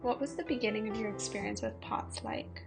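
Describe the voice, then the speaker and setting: A woman narrating and asking interview questions in a measured, explanatory way. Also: mid-range voice, vocal fry, music in the background. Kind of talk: scripted narration.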